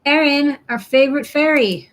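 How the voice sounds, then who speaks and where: A woman's voice: high voice, normal speed, poor audio. A woman hosting a casual live show, chatting informally with guests and encouraging them. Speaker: show host. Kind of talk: casual live chat, introducing and reacting to guests.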